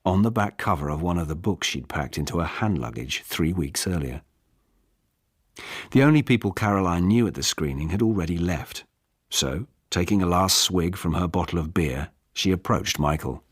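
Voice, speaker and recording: A British man narrates literary fiction in a very deep voice. His delivery is expressive, with lively swings in pitch, and the tone is husky. It is a scripted audiobook read. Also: moderate pace, dry recording, clean studio sound. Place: dry studio.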